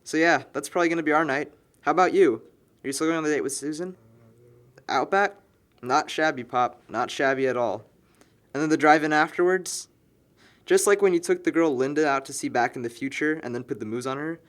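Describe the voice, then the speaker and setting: A man's voice: fairly high pitch, brisk, fairly bright tone, close to an everyday voice. An actor playing a sarcastic, exasperated young man planning a house party in a film drama, talking in wordy, slangy wisecracks. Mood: breezy, teasing. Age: young adult. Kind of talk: naturalistic amateur film dialogue.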